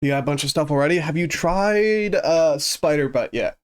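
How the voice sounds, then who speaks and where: A man's voice: a little high, animated, moderate pace, smooth. A man streaming live, chatting casually with viewers in a rambling, easygoing way while he plays, his voice congested and a bit sniffly. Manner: rambling, easygoing, thinks aloud with many restarts.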